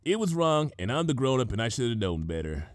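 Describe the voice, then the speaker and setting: Man as brash cartoon pegasus mare. Casual, sheepish, halting, with swooping intonation. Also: mid-range voice, sing-song, no echo, studio quality, lightly acted.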